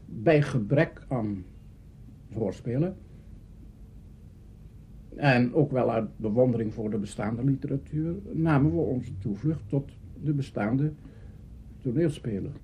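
Slow, mellow older man, reminiscing. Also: medium pitch, slight background noise, low-quality recording. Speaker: radio drama pioneer being interviewed. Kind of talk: measured reminiscence.

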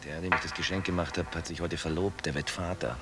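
Radio drama: a terse, formal rich man, politely curious, fast delivery over clear music. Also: fairly even intonation. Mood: subdued. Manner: terse, formal, low-key. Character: well-off, reserved gentleman, weary, terse, politely curious. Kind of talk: understated radio drama acting.

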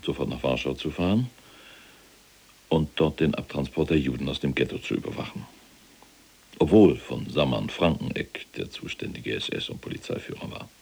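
An actor playing a proud, self-justifying imprisoned SS general in a German radio drama, gravelly and husky, with a clipped, emphatic military delivery. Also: male voice, a bass voice, a little mellow, full character voice.

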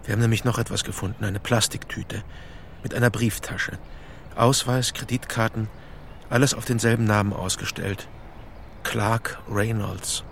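Level-headed detective, slightly gravelly. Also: male voice, on the low side, fairly even intonation, normal speed, a bit bright, slight background noise. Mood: matter-of-fact. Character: male sheriff's department detective, earnest and level-headed. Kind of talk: German audio drama acting.

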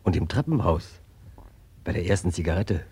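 An actor playing a calm, persistent, mysterious inner other self in a radio play, measured and insistent. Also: male voice, full character voice. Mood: insistent, incantatory. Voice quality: deep, husky. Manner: measured, insistent, incantatory listing. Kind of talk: German radio play acting.

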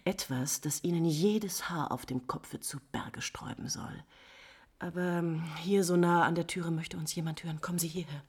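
A woman's voice: on the low side, normal speed, breathy edge. A woman playing a proud, scorned countess in 1950s German radio drama, bitterly mocking and agitated, moving between whispers and outcries. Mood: secretive whispering. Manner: theatrical, swinging from whispers to outcries.

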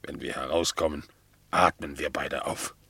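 A devoted bulldog in a radio drama, very deep in voice with a forceful, bright edge. The dog is hearty, blunt and earnest, a little timid at heart. The sound is dry and close. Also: male voice, fairly even intonation, moderate pace, vocal fry, slightly rough, no room sound, heavily acted. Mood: earnest, anxious. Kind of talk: German radio play acting.

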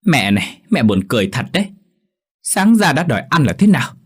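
A man voicing a burly, drunk middle-aged son who scolds his old mother loudly and impatiently. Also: animated, normal speed. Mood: angry scolding. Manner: loud, scolding, booming.

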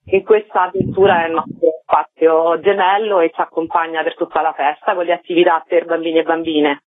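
A woman's voice: low voice, flat delivery, brisk, dry recording. A woman presenting events as a festival organizer in a radio interview. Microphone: telephone call. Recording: narrow phone line, dry.

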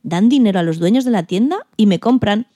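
A woman's voice: mid-range voice, a little fast, no room sound, clean studio sound, lightly acted. A woman playing an indignant, timid male guinea pig who tells his story expressively in a children's radio play. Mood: grumbling. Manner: expressive, exclamatory, plaintive.

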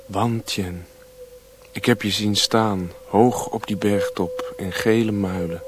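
An actor playing a world-weary wandering man in a radio drama, giving a measured, poetic and reflective monologue. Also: on the low side, fairly even intonation, noisy background. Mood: dreamy, longing.

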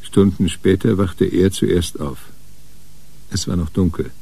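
A man narrating a crime radio play in a measured, sober storytelling manner. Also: low voice, gravelly, dark tone, breathy edge.

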